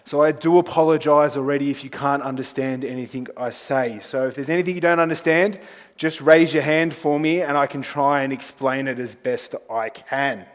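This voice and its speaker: A man's voice: mid-range voice, normal speed. A man with an Australian accent giving an informal conference talk in a chatty, self-deprecating manner. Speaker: corporate communications manager and conference speaker. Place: conference hall, on stage. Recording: echoey large hall sound.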